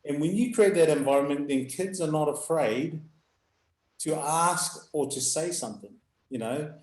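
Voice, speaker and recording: A middle-aged man with a loud, booming voice, a youth rugby coach talking in halting bursts with frequent laughs. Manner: halting, restarts, laughs often. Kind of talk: live video call chat. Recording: echoey room.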